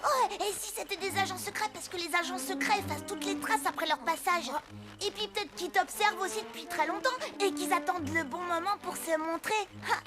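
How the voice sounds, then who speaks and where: A tiny young cartoon elf, nosy and full of imagination. The childlike chatter is piercingly high, with loud music behind. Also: female voice, fairly quick. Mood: excited, conspiratorial. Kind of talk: French animated series dubbing.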